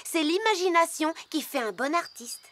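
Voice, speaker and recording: A woman's voice: very high-pitched, lively intonation, moderate pace, fairly bright tone, a little hiss or hum. A woman voicing a kind, loyal young male hippo in a cartoon, with a sing-song, encouraging way of talking. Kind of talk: cartoon voice acting.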